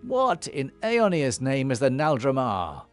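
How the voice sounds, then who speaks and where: An actor playing an earnest, inquisitive young hero in a fantasy game, speaking in a direct, questioning way. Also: male voice, medium pitch, wildly swooping pitch, unhurried, soft background music. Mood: puzzled. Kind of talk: fantasy game cutscene acting.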